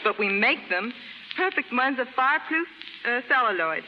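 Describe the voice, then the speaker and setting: A woman's voice: fairly high pitch, very animated, normal speed. Woman in echoing old radio testimonial. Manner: precise, rehearsed delivery. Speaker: beauty salon manicurist giving testimonial. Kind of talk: scripted 1930s radio advertising interview. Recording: muffled, echoing 1930s radio broadcast, faint hiss.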